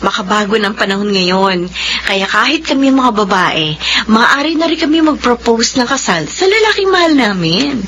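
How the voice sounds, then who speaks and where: Lively, devoted thirtysomething woman in love. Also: fairly high pitch, moderate pace, slight background noise. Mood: sweet, eager. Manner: earnest, emotive. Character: devoted, determined 33-year-old woman in love. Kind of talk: Filipino radio drama acting.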